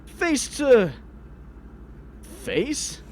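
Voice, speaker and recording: Guy doing an excitable jokey young superhero, high voice that swings all over, comic dub, noise behind. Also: extremely slow, exaggerated voice. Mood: taunting, defiant. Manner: theatrical, joking, exclamatory. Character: wisecracking young male superhero, chatty, excitable, nervy. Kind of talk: comic dub narration and dialogue.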